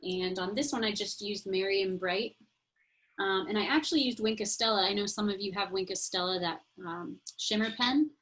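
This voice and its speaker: A woman's voice: mid-range voice, moderate pace, fairly bright tone. A woman teaching a casual online craft class over a video call, explaining steps in a chatty way. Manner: chatty, friendly explaining with fillers.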